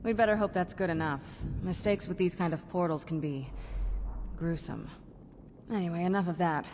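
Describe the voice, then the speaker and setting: Woman playing a composed young vampire necromancer, female, slightly low pitch, dry musing delivery, fantasy game dialogue, clear background noise. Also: normal speed, darkish tone, natural voice. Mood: dry, resolute. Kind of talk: video game character dialogue.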